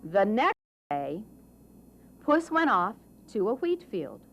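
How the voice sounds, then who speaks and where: Slow, animated woman storyteller. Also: medium pitch, slight background noise. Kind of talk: scripted children's story narration.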